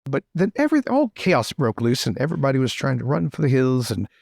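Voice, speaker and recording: Man playing an excitable, swooping young lord. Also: mid-range voice, fast talker, vocal fry, no room sound, clean studio sound. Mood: excited storytelling. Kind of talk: improvised in-character roleplay.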